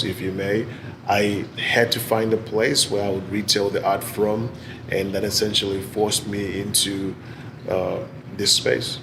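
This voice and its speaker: A man in his forties with a Zimbabwean accent, giving measured, articulate answers in an interview. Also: fairly low pitch, background noise. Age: 40s. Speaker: interviewee, business executive and gallery owner. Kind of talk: oral history interview answers.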